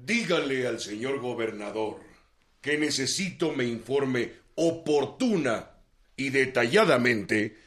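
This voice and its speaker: An actor playing a stern, formal Mexican president who gives orders deliberately and imperiously in a radio drama. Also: male voice, medium pitch, animated, a bit bright. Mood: commanding.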